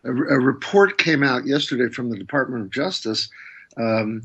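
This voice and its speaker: A man in his sixties, a radio interview guest, telling his story in a thoughtful, hesitant way with many pauses. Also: medium pitch, fairly bright tone, husky, highs cut off. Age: 60s. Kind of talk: interview, recounting memories.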